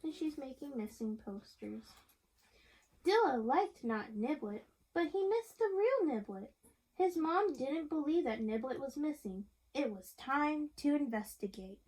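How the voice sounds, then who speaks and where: A woman reading a picture book aloud to young listeners in an animated way, chatting and asking them questions as she goes. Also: sits high, measured pace, low-quality recording. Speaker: reader of a picture book to children. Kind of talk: read-aloud storytelling with chat to young listeners.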